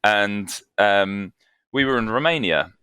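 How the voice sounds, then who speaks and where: Bright-voiced man telling stories. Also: fairly low pitch, animated, takes it slowly, clear, resonant tone, no echo, studio quality. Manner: chatty, self-deprecating, laughs often. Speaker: guest vocalist. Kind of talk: casual interview storytelling. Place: home, evening.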